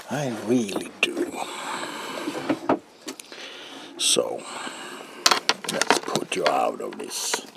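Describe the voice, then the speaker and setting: Here is a deranged male comic-book villain, very low and gravelly. He drawls theatrically in an ASMR roleplay, swinging wildly in pitch with a playful, menacing edge. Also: heavy background noise, full character voice. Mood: amused, approving. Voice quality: breathy gravelly whisper.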